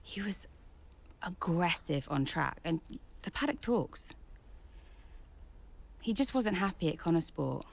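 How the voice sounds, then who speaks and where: A woman's voice: on the low side, sing-song, slow pace, creaky, pauses often, exaggerated voice. A woman playing a blunt, defiant young racing driver in a video game cutscene, with a curt, confrontational manner. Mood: resentful, candid.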